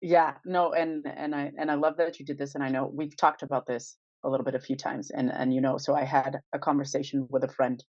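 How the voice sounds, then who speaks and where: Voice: mid-range voice, flat delivery, fairly quick, hardly any pauses, a little mellow, no room sound. A podcast co-host in casual conversation who thinks aloud in rambling questions with light chuckles. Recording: slightly dull, dry sound.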